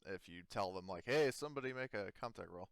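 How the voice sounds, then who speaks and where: A man with a fairly low, clean voice leads a tabletop roleplaying game. He improvises the narration in an informal, halting way. The sound is dry, with little room in it. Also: animated, creaky, clear, resonant tone, dry recording. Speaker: game master.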